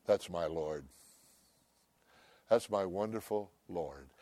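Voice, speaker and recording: An older man preaching a sermon, loud and emphatic, swinging between shouts and hushed asides. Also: low-pitched, takes it slowly, no room sound. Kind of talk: impassioned sermon.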